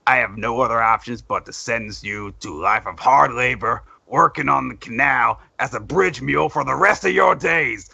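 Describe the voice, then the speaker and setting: A man's voice: medium pitch, normal speed, creaky, hardly any pauses, sharp tone, husky, no echo, heavily acted. A man playing a stern, scornful judge who delivers his pronouncements pompously, in a dull home recording. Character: stern, scornful male judge passing sentence.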